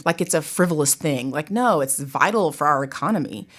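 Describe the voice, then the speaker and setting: A woman's voice: low voice, animated, fairly quick, a bit bright. A woman talking casually as an interview guest, chatty and emphatic, with plenty of conversational asides. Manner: chatty, emphatic, peppers talk with "you know" and "like". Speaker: theater professor and director, interview guest.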